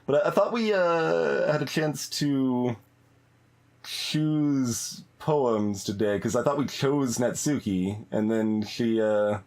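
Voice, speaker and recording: Man, mid-range voice, casual let's play commentary, slow pace, musing, trails off mid-thought. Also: medium pitch, a little mellow. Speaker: streamer, let's play host. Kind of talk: casual live game commentary, thinking aloud. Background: game music.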